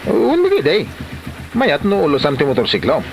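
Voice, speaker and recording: A man's voice: a little high, huge pitch swings, moderate pace, vocal fry, noisy background, exaggerated voice. A man with an Ilocano Filipino accent playing a teasing, amused buddy in a comedy drama. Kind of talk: Ilocano comedy radio-style drama acting.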